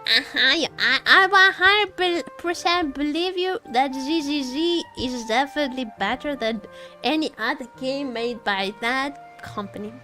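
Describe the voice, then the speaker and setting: A woman's voice: high-pitched, unhurried, bright, forceful tone, soft background music. A woman live-streaming a game, chatting casually and expressively, often repeating her phrases. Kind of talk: casual livestream chat while gaming.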